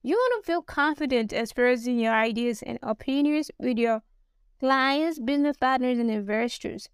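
A Nigerian woman with a Nigerian accent, a business English coach talking alone on her podcast, spelling out words slowly. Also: high-pitched, expressive, clear, resonant tone, no room sound, studio quality. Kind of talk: solo podcast monologue.

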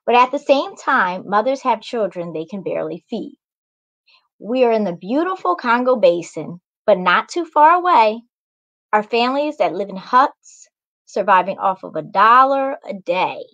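A woman's voice: medium pitch, animated, normal speed, a bit bright, dull audio, natural voice. A woman voicing a friendly talking bird guide in a children's story, encouraging and explanatory. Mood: explaining.

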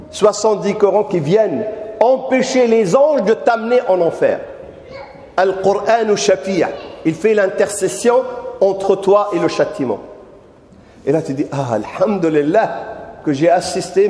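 Older guy preaching in a mosque hall, handheld mic, pretty high but mellow voice, lots of echo. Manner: animated, addresses audience directly with questions. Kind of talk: mosque lecture. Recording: echoey hall with long reverb.

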